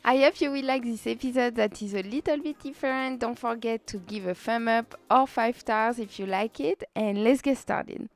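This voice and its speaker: Woman, French accent, slightly high pitch, casual podcast chat, very dry sound. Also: normal speed, few pauses, no echo. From France. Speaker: podcast host being interviewed. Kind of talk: casual conversational interview chat.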